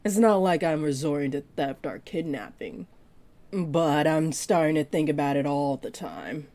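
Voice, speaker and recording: A woman playing a flirty, possessive part-dragon librarian in an intimate roleplay audio drama, speaking to the listener in a teasing, deliberate way. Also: low-pitched, animated, warm tone. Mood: candid, confiding. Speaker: voice actor.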